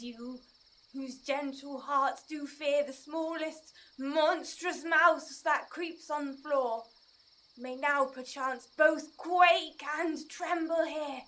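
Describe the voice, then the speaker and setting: A woman playing a gentle, timid joiner who recites verse as an amateur stage lion in a careful, apologetic way. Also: high-pitched, poor audio.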